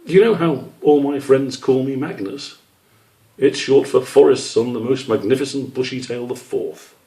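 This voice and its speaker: A man's voice: medium pitch, moderate pace, dark tone, pitched above the performer's own voice, close to an everyday voice, low-quality recording. Man as a proud, long-winded father squirrel. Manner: explanatory, emphatic.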